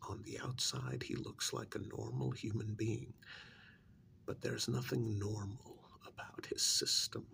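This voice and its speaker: A man playing a stern, secretive government handler in an ASMR role-play, speaking softly in a pointed, reprimanding, deliberate way. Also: fairly low pitch, full character voice. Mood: grave, intent. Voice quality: breathy near-whisper with gravelly creak. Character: stern, secretive male government handler scolding an undercover agent.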